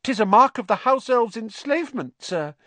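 A reader voicing a pitiful, servile male house elf who talks in a squeaky, fretful, pleading way. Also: lively intonation, moderate pace, fairly bright tone, dry recording, lightly acted. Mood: anxious servile.

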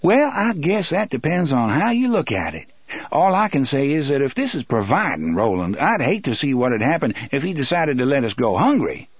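A man voicing a truculent, sassy woman in an audiobook, sharp-tongued and colloquial. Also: medium pitch, very animated, moderate pace, slightly rough, no echo, voice pushed up.

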